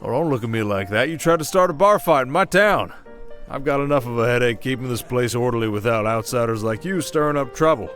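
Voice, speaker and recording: An actor playing a world-weary, gruff werewolf sheriff with an American Western drawl, folksy and scolding, in an ASMR roleplay. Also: male voice, mid-range voice, animated, a little fast, fairly bright tone, faint music behind, lightly acted. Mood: stern, annoyed. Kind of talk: ASMR roleplay acting, Old West.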